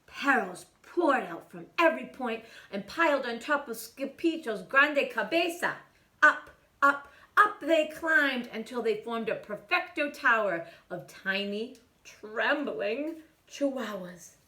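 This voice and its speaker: A woman reading a children's picture book aloud in a theatrical way, with hushed asides and exclamations. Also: on the high side, expressive, slow pace, reverberant. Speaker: children's storytime reader.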